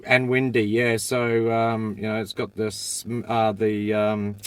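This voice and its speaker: An Australian man conducting a phone interview, relaxed and encouraging, with frequent short acknowledgments. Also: on the low side, takes it slowly, smooth.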